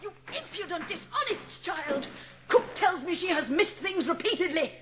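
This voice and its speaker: A woman's voice: very high-pitched, expressive, normal speed, over music. A woman voicing a cruel, haughty schoolmistress who scolds and accuses in a dramatised audiobook. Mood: furious.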